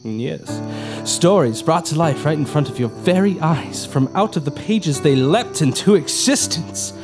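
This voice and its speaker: An actor playing an overly courteous, strangely cheerful servant who speaks formally and swoops up high. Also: male voice, expressive, measured pace. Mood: polite eerie. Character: overly polite odd male servant, eerily cheerful. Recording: music playing under the dialogue.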